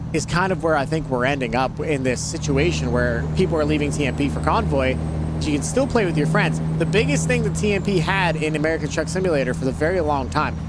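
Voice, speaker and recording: A man in his thirties streaming a game, chatting in a rambling, blunt way with casual swearing. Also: a little high. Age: 30s. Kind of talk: casual live-stream chat while gaming. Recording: loud vehicle noise behind.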